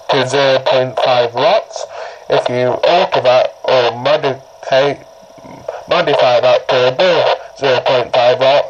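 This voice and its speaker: A man giving an informal hands-on tutorial in a halting, repetitive way, often checking in as he goes. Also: medium pitch. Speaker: presenter of a tutorial. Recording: noisy homemade recording.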